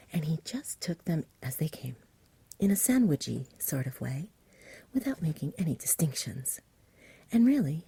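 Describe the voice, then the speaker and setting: A woman giving a soft-spoken, breathy, whispered ASMR reading of a bedtime story in a gentle storybook manner. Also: low voice.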